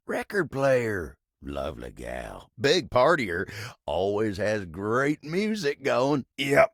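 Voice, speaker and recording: A man voicing a folksy, bashful, old-fashioned talking table in a video game, with a gravelly Southern US drawl and a polite, rambling, easygoing way of talking. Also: fairly low pitch, a bit bright, dry recording, studio quality, full character voice. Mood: wistful, easygoing. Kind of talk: video game character dialogue.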